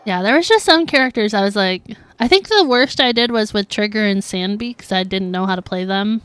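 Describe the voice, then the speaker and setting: A woman's voice: mid-range voice, animated, brisk, fairly bright tone, clean tone, no room sound. A woman livestreaming a game, chatting casually and informally with her viewers. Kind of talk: casual chat while streaming gameplay.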